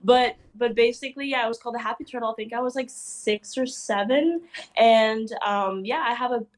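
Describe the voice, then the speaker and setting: Bubbly young woman, slightly high voice. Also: fairly quick. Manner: bubbly, rambling, many "like" fillers. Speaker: DJ duo member, guest. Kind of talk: casual interview chat.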